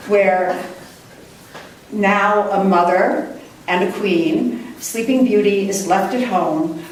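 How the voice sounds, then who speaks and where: A woman poet reading her own work live at a book launch, with a measured, deliberate delivery and careful pauses. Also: medium pitch. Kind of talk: live poetry reading with brief introductions. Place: indoor room before a live audience. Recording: distant mic, echoey room, faint noise.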